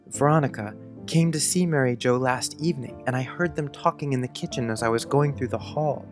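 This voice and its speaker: A man's voice: mid-range voice, lightly acted. A man playing an earnest, polite, precocious young schoolboy in an audiobook, speaking in careful old-fashioned phrases. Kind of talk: audiobook character acting.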